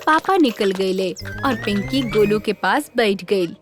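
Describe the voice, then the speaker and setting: A woman with a Bhojpuri accent giving scripted narration for an animated children's story. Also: medium pitch, unhurried, music in the background.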